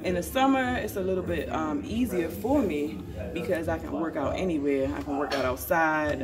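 A woman in her thirties, a trucker, chatting casually to her phone camera with lots of fillers, first in a gym and then sitting in a car. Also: sits low. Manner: rambling, relaxed, many fillers. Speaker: trucker vlogger. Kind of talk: casual vlog talk to camera. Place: large gym indoors; inside a parked car. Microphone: phone camera at arm's length.

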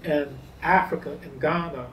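A middle-aged man being interviewed, speaking in emphatic, drawn-out phrases. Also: fairly high pitch, steady tone, echoey room, distant mic, poor audio. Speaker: interview guest. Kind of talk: reflective interview talk. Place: indoors against a pale wall.

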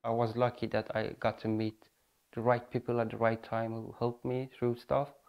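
Soft-spoken young man in a sit-down interview. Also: mid-range voice, flat delivery, normal speed, muffled recording. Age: 20s. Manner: soft-spoken, reflective, with fillers. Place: apartment living room indoors. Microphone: lapel mic.

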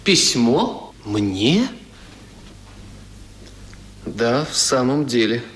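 A man playing an impulsive, penniless young gentleman in a theatrical, excitable radio drama style. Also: medium pitch, extremely slow, slight background noise. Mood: astonished, elated. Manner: theatrical, excitable, repeating phrases. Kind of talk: Soviet radio drama acting. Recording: 1977 radio play recording.

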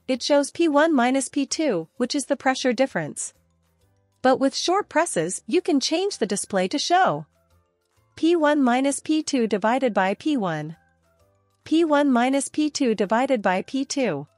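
Woman, high voice, scripted product narration, steady even delivery, dry close mic, faint music behind. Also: no room sound. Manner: steady, even reading of long spec lists. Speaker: product reviewer.

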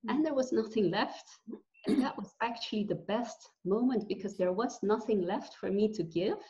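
A woman from Belgium in her forties, a guest telling her story with pauses and fillers over a video call from home. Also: medium pitch, mellow. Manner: earnest, reflective, with many pauses and fillers. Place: bright room at home. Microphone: video call webcam. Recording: dull lo-fi video call audio.

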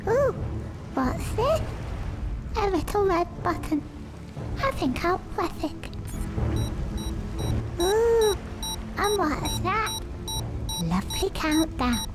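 A shrill gloating mad scientist. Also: male voice, big pitch swings, slow pace, pauses often, full character voice. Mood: taunting. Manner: theatrical, gloating, panicky outbursts. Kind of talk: video game voice acting. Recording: game audio over loud music.

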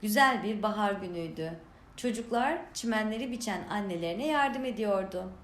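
Lady reading a kids story, really lively up and down voice, echoey room w some noise. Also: medium pitch, moderate pace. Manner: expressive read-aloud delivery for children. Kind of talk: scripted children's story narration. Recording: roomy echo, clear background noise.

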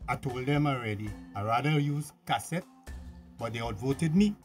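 An actor with a Tobagonian accent playing a pompous, badgering police officer interrogating someone in a radio drama. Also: male voice, mid-range voice, normal speed, soft background music, close to an everyday voice. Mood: insistent, accusing.

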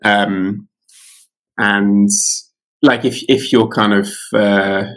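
A man's voice: fairly low pitch, little pitch movement, very slow, fairly bright tone. Rambling man on a video call. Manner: rambling, many fillers and restarts. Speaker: author guest. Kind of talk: casual interview chat. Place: home room with curtains.

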